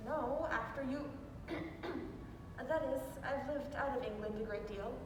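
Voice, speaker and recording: A woman playing a genteel, wistful elderly English lady in a stage play, with a gentle, reserved manner. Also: sits high, unhurried, background noise. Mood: evasive, wistful. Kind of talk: student stage play acting. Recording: echoey hall, distant mic, music behind.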